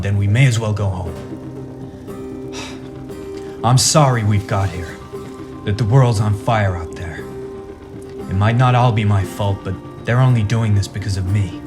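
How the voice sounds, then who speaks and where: A man's voice: lowish voice, close to an everyday voice. A man playing a brash, protective superhero father who leads his team, earnest and wry, in a radio play. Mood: earnest, rousing.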